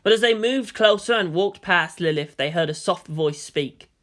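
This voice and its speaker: A woman's voice: lowish voice, moderate pace, fairly bright tone. A woman reading fan fiction aloud as a dramatic, scripted story narration.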